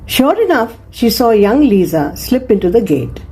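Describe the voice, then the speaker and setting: A woman narrating a scripted children's moral story in an expressive, measured storytelling manner. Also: on the high side, slightly rough, rough recording.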